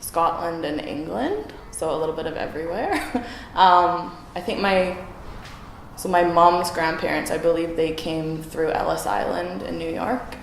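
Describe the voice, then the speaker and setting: A woman's voice: fairly low pitch, measured pace, vocal fry, slight background noise. A woman answering casually in an interview, lighthearted with easy laughs. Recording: echoey with faint ambience.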